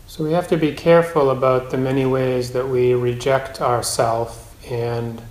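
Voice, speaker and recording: A man teaching a guided meditation in a measured, gentle way, with long pauses between phrases. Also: medium pitch, little pitch movement. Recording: roomy, echoing space.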